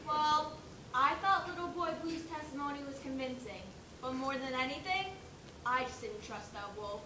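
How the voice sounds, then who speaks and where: A woman's voice: sits high, normal speed, lightly acted. A woman playing a distrustful, matter-of-fact pig juror in a stage play. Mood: suspicious. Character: distrustful pig juror, firm against the wolf. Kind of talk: school stage play acting. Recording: roomy, distant, noisy stage recording.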